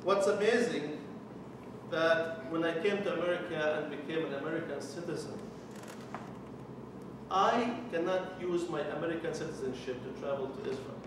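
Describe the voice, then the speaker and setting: A man's voice: a little high, normal speed. A man in his forties from Palestine with a Palestinian Arabic accent, a church mission developer giving an earnest personal testimony with wry jokes. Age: 40s. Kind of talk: personal testimony talk to a committee. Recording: echoey room from far off, with noise.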